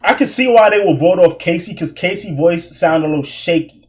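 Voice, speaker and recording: A young man streaming, reacting loudly with laughs and profane slang. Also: high-pitched, a little fast. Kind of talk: loud reaction commentary.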